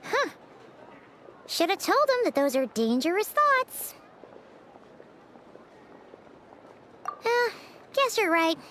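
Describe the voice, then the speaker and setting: A woman voicing a tiny, excitable, cheeky childlike companion creature in a video game, with a squeaky voice and perky delivery. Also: slow, a little hiss or hum, heavily acted. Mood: musing, smug. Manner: perky, exclamatory, refers to self in third person. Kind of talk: video game character acting.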